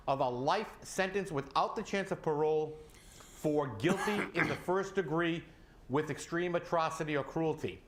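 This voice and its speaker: A man co-hosting a local talk show, reporting breaking news with hesitant fillers. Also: fairly high pitch. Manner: hesitant, many filler sounds. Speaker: co-host reading news. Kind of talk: news report then commentary.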